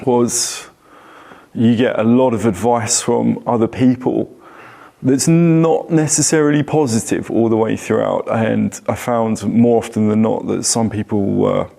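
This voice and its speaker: A man talks casually in a group discussion. His voice is fairly low and mellow, with a lot of gravelly creak. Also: fairly even intonation, measured pace. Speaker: panel participant. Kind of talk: casual personal chat.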